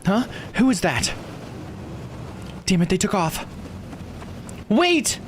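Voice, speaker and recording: Man voicing an anxious investigator, raised pitch. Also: very animated, slow, exaggerated voice. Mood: startled, urgent. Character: anxious, startled investigator reasoning to themself. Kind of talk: reading visual novel dialogue aloud. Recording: faint background noise.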